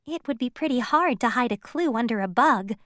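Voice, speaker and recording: A woman voicing a sweet, eager young princess in a storybook, gentle, gushing and expressive. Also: a little high, a little fast, no echo, clean studio sound. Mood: curious.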